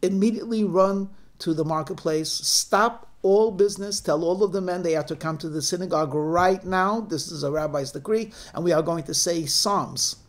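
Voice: medium pitch, moderate pace, hardly any pauses, lightly acted. A storyteller voicing a grave village rabbi in a folk tale, solemnly issuing decrees. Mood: grave, urgent.

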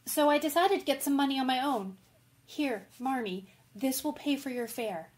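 A woman's voice: high-pitched, moderate pace, husky. A woman playing a spirited, tomboyish young woman, earnest and dramatic, in an old-time radio drama. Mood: plucky, defiant.

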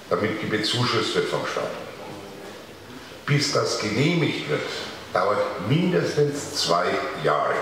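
Older man, deep lively voice, blunt floor comment, echoey hall from afar. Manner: blunt and emphatic, rising to sharp stresses. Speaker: audience member, craftsman. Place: large car showroom with a seated audience. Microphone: handheld mic. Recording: echoey hall miked from far.

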